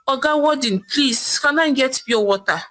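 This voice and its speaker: A man's voice: very high voice, normal speed, bright, forceful tone, pitched above the performer's own voice. A woman voicing a pompous jailed man with a Nigerian accent, whiny and pleading with his wife.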